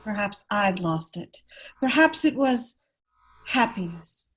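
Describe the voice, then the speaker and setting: A woman's voice: mid-range voice, animated, slow pace, a bit bright. A woman playing a proud, unhappy, neglected aristocratic wife in an amateur radio play read, earnest and wounded. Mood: wounded, wistful.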